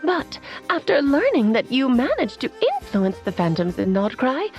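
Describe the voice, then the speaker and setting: An actress voicing a knowing, mysterious woman who explains things in a measured, lightly amused way. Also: sits high, very animated, faint music behind. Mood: explaining. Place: studio.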